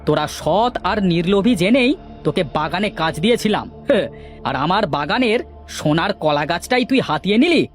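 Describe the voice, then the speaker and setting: A man's voice: high-pitched, lively intonation, normal speed, soft background music. A man voicing a greedy, hot-tempered older village orchard owner in a cartoon, blustering and indignant. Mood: angry, accusing. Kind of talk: children's moral cartoon acting.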